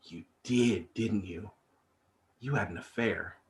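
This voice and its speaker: A man's voice: lowish voice, slow pace. A man acting a flustered, awkward middle-aged office-working husband and dad in a comedy script read, hesitant and sheepish. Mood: hurt, subdued.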